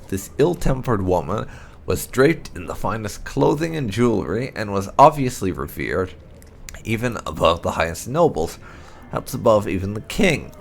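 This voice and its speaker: A man narrating fantasy lore from a script in a measured storyteller's manner. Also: medium pitch, gravelly, a little hiss or hum. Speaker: gaming channel host reading game lore aloud.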